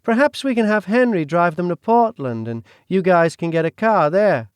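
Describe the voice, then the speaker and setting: A man's voice: high-pitched, animated, moderate pace, clean tone, dry recording, voice pushed up. A man voicing a wary, defiant young woman who speaks sharply.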